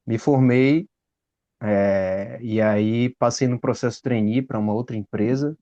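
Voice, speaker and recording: A man's voice: mid-range voice, fairly even intonation, unhurried, dark tone, dull audio. A man, telling his story casually with frequent tag questions. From Salvador, Brazil. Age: 30s. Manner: rambling, frequent "né?" tags. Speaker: guest.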